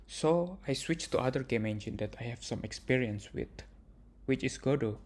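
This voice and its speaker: A man's voice: medium pitch, expressive, normal speed. A young man narrating his own game devlog in a plain, earnest way, with some non-native phrasing. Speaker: indie game developer.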